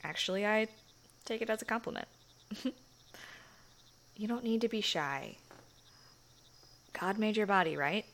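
A woman's voice: mid-range voice, lively intonation, measured pace, long pauses. A young woman playing a shy, giggly church girlfriend. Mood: tender, teasing. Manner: soft, hushed, giggly and hesitant. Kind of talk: intimate whispered audio roleplay.

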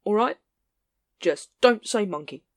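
A nervous, fumbling sergeant who hesitates and pleads with his captain, as a woman puts him on. His voice sits at a middling pitch. The sound is dry and close. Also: lively intonation, unhurried, no echo. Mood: anxious. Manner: hesitant and pleading, with ums and uhs.

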